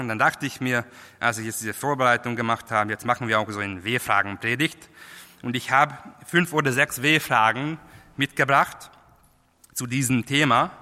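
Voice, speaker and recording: A man preaching a sermon in a language that is not his first, halting, with many fillers and restarts. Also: mid-range voice, sharp tone. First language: not German. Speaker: lay preacher. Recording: long hall reverb.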